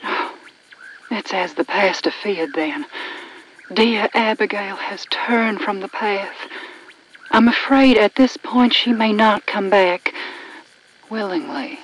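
A woman playing a sweetly menacing elderly cult elder in a video game, speaking in a soft, breathy, honeyed manner. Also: mid-range voice, takes it slowly, low-quality recording. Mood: grave. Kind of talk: video game cutscene acting.

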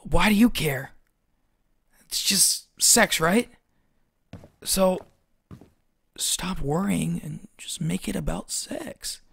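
A man's voice: sits high, takes it slowly, creaky, long pauses, a bit bright, breathy edge, no echo, full character voice. A young man acting a flippant, defiant teenage schoolboy in an audio drama, dismissive and sarcastic.

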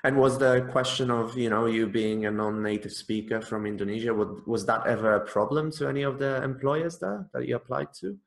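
A man's voice: medium pitch, steady tone, brisk, creaky, hardly any pauses. A man in his thirties hosting an interview and explaining to camera in an earnest way, recorded on a webcam. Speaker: host, teacher trainer. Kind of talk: interview host and intro to camera. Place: small indoor room. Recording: echoey room.

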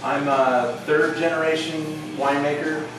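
A middle-aged man from Southern California, a winemaker telling his family story to guests at a tasting with relaxed fillers. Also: on the high side, moderate pace. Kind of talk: casual tasting talk to guests. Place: winery tasting room. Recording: echoey room from far off, background noise.

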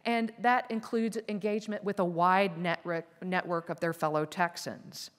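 A woman in her fifties giving a formal prepared keynote speech from a lectern on a conference stage, in a measured, earnest delivery. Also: on the low side, steady tone. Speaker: keynote speaker. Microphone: lectern microphones. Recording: reverberant conference hall.

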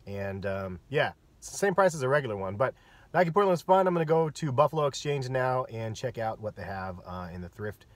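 A man in his thirties giving a relaxed, chatty sneaker review in a vlog, with plenty of ums and uhs. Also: medium pitch, a little fast. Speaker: sneaker vlogger. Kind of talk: casual vlog commentary. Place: parked car, and voice-over on store footage.

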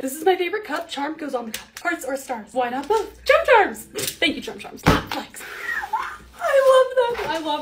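A young woman with a bubbly, gushing way of talking and a slightly raspy voice, narrating her lifestyle vlog. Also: extremely high pitch, noisy background. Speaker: lifestyle vlogger. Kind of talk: casual vlog voice-over.

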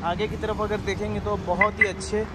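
A man's voice: high-pitched, flat delivery, fairly quick. A man giving an unscripted car sales walk-around, talking persuasively and dropping in English terms. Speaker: used-car dealer presenting a car. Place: outdoors by a roadside lot and inside a car. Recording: clear outdoor ambient and vehicle noise.

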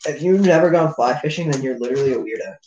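A man's voice: mid-range voice, quick pace, darkish tone, dull audio, slight background noise. A young man co-hosting a livestream indoors, chatting casually with slangy, teasing banter. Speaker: livestream co-host. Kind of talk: casual chat. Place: living room at a table, indoors. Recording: echoey room.

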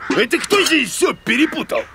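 A man's voice: very high voice, very animated, moderate pace, creaky, heavy background noise, exaggerated voice. A man voicing a goofy, boastful bearded cartoon creature, a childish mama's boy who blusters, mutters and stammers. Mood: boastful, indignant.

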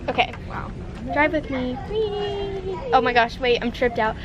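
Bubbly high-voiced young woman vlogging. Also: expressive, slow pace, muffled recording. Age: young adult. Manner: bubbly, giggly, rambling. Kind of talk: casual chat. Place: inside a parked car, then a big store. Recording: music playing in the car.

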